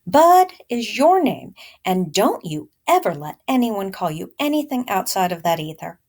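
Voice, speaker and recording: A woman's voice: mid-range voice, very animated, moderate pace, a little mellow. A woman reading aloud as a firm, loving mother who lectures her young son insistently in a storybook. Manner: emphatic, insistent.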